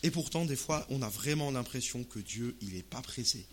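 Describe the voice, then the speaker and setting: Male preacher, medium pitch, informal and expressive sermon delivery, live recording with light background noise. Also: normal speed. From Alsace, France. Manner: expressive, uses rhetorical questions and acted-out asides. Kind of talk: sermon in conversational, colloquial language.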